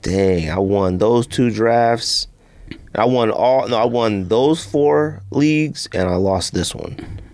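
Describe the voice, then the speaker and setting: A man's voice: mid-range voice, slow, a little mellow. A man hosting a podcast in casual sports and sneaker banter, slangy, teasing and given to exclamations. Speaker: podcast host.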